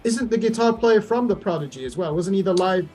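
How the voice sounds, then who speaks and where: A man's voice: sits high, expressive, a little fast, dark tone. A man co-hosting a casual music discussion, giving opinions with emphasis. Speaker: music reaction show co-host.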